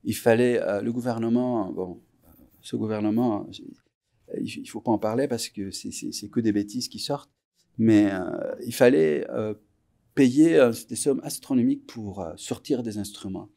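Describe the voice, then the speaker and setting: Mellow, gravelly man born in Iran. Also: medium pitch. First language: Persian. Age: 40s. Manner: unhurried, reflective, pauses often to search for words. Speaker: musician guest. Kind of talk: relaxed interview recollection.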